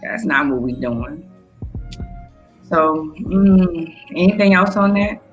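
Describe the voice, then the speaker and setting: A woman's voice: lowish voice, lively intonation, slow pace, faint music behind, low-quality recording. A blunt, opinionated American woman co-hosting a podcast and casually talking through the news. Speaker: podcast co-host. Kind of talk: casual news discussion between friends.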